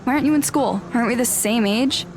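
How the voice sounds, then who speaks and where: A woman's voice: a little high, fast, noisy background, lightly acted. A woman playing a haughty, theatrical teen girl. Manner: theatrical, pompous, dismissive. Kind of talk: audio drama acting.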